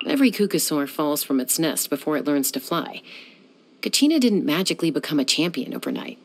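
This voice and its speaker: A woman's voice: low voice, very animated, a little fast, exaggerated voice. A young woman voicing a self-assured, dryly teasing gunslinger who flies and settles disputes, in video game voice lines. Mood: cool. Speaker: game character.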